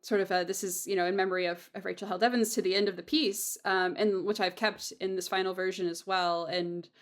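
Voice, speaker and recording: A woman's voice: medium pitch, steady tone. Earnest, effusive woman in casual conversation. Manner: earnest, effusive, halting with self-repetition. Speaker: audio drama creator and host.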